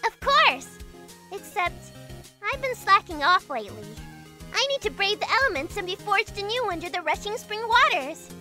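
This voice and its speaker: A woman's voice: very high-pitched, sing-song, moderate pace, sharp tone, soft background music, heavily acted. A woman playing a perky, naive teenage girl assistant in an audio drama, bubbly and exclamatory. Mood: bubbly, eager. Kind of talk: fan audio drama acting.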